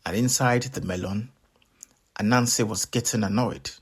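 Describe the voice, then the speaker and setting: A man reading a children's story aloud as a storyteller, with expressive, deliberate and dramatic delivery. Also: on the low side, husky.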